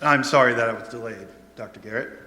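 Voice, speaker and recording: Solemn young male doctor, played by an actor, composed and mysterious, crisp-edged tone, echoey radio play. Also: medium pitch, sharp tone, natural voice. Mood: calm, knowing. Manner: measured, solemn, formal. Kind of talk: radio drama acting. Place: live stage performance in a hall. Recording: roomy echoey live hall, noisy, clicks.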